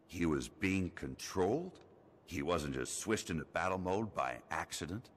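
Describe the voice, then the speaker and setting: A proud, square-jawed toy space ranger for an animated game. He is skeptical and declarative, deep and deliberate, with dramatic swings of pitch. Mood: puzzled. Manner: firm, declarative, dismissive. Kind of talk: animated video game dialogue. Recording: clear background noise and ambience.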